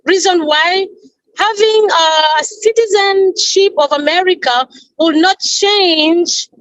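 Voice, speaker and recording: A woman from Congo, speaking earnestly as a panel speaker at an event, pausing and rephrasing as she goes. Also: extremely high pitch, fairly bright tone, no room sound, rough recording. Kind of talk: earnest speech at an online panel.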